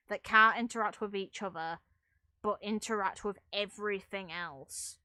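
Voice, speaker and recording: Bright, chatty woman gamer. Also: mid-range voice, clean tone, no echo. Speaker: gaming video host. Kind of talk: casual gameplay commentary. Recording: music playing behind the voice. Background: background music.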